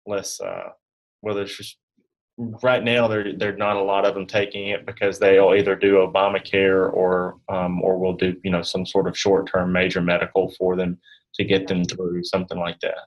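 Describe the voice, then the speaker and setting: A man giving informal explanations as an interview guest, in hesitant, halting phrases. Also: low voice, flat delivery, lo-fi sound. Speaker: guest health insurance specialist.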